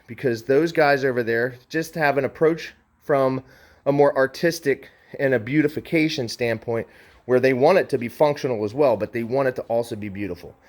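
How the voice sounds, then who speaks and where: A man giving a conversational, enthusiastic, unscripted sales walkaround as a motorcycle dealer. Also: mid-range voice. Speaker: motorcycle dealer presenting a bike for sale.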